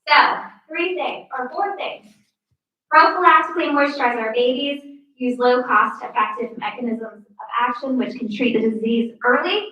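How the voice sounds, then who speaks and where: A woman's voice: sits high, normal speed, bright, forceful tone, lo-fi sound. A young woman in her twenties, a medical student giving an upbeat prepared talk and counting off her points one by one. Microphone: no working mic, heard from afar. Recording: echoey hall from far off.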